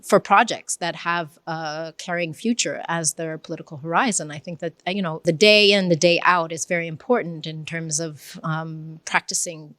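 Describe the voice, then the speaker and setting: A woman's voice: sits low, steady tone, moderate pace, hardly any pauses, fairly bright tone. A woman professor in her forties with an American accent, answering interview questions thoughtfully in a lecture hall, speaking into a handheld mic. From Israel and United States. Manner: thoughtful, earnest, with restarts. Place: large university lecture hall, indoors. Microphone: handheld mic held near mouth.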